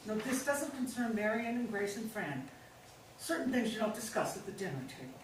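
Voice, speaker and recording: A woman's voice: medium pitch, big pitch swings, moderate pace, close to an everyday voice. A woman playing a manipulative middle-aged pharmacist's wife in a stage play reading, coaxing and insistent with false sweetness. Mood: grave, probing. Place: indoor staged reading, mic far off. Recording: very echoey room, distant mic, faint noise.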